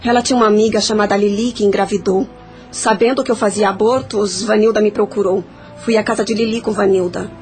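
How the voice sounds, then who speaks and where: A woman's voice: medium pitch, steady tone, fast, a little mellow, faint music behind, close to an everyday voice. Woman acting a bitter, guilty spirit. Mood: guarded, confessing. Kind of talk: audio drama acting.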